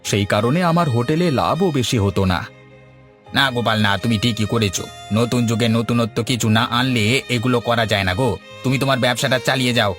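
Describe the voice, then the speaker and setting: A man's voice: mid-range voice, fairly quick, a bit bright, lightly acted. A man voicing a friendly, polite villager chatting conversationally in a cartoon. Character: friendly male villagers chatting over food. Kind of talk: cartoon voice acting. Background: music.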